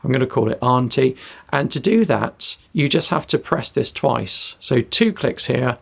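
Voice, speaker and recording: A British man reviews a gadget in a casual, spoken style. His voice sits at a middling pitch and has a very strong gravelly fry to it. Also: animated, measured pace. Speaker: product reviewer.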